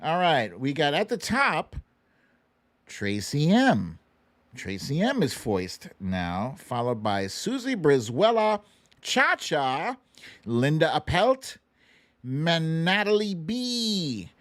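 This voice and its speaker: A man's voice: a little high, huge pitch swings, takes it slowly, a bit bright, dry recording. A man hosting a casual livestream quiz, chatty and playful as he banters and calls out to viewers. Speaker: host of a live online show.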